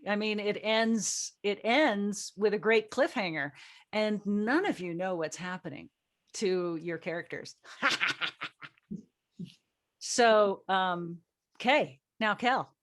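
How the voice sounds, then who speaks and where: A woman's voice: mid-range voice, takes it slowly. Woman hosting a casual group chat. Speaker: host and playwright. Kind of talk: casual hosting of a cast panel.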